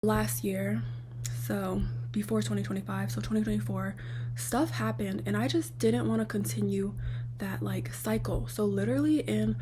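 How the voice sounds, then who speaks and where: A woman vlogger with a raspy, slightly worn voice, chatting casually and rambling with lots of fillers. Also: medium pitch, steady tone, moderate pace, dark tone, a little hiss or hum. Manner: rambling, lots of "like", talks to viewers directly. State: losing her voice. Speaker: lifestyle vlogger.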